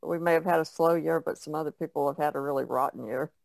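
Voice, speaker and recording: A woman's voice: low voice, steady tone, quick pace, creaky, a little mellow, dry recording. A middle-aged woman talking casually as a podcast co-host. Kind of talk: casual chat.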